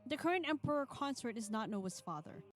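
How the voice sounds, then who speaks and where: A woman streamer reading a visual novel aloud, expressive, casual and blunt between passages. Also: on the high side, brisk, soft background music.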